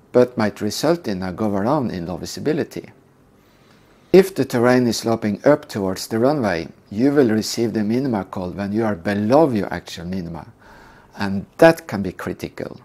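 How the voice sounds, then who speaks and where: An older man calmly teaching a scripted lesson on flying approaches. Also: lowish voice. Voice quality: calm, measured explanation. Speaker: flight instructor. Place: indoor room.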